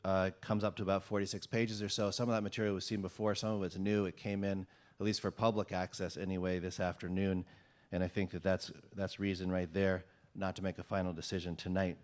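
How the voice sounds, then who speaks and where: Low-voiced man speaking at a hearing. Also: level tone, a little fast, a little mellow. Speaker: resident speaking at public comment. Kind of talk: public comment at a planning meeting. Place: town hall meeting room.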